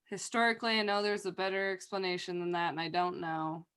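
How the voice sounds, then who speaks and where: A young woman hosting a casual livestream, chatty and giggly with a naturally loud voice and lots of fillers. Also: fairly low pitch, level tone, fast talker, clear, resonant tone. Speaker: livestream host.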